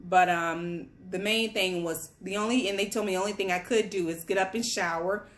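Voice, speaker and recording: A woman's voice: mid-range voice, steady tone, fairly quick, a bit bright. A young woman from Guyana, pregnant and on bed rest, telling a candid personal story in a casual chatty way while sitting at a table at home. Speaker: vlogger. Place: home, at a table among boxes.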